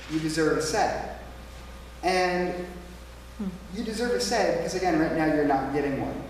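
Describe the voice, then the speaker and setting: A man's voice: on the high side, slight background noise. A man with a big projecting voice making an emphatic debate speech in a small church meeting room. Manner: emphatic, plain-spoken, repeats slogans. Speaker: debate speaker for a taxpayers' group. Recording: echoey small hall, from far off.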